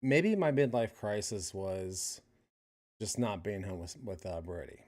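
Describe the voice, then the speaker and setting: A man's voice: lowish voice, animated, a little slow, a little mellow. A middle-aged man hosting a stream, talking casually and rambling, with frequent restarts. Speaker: stream host reacting to a podcast. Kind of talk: casual personal commentary.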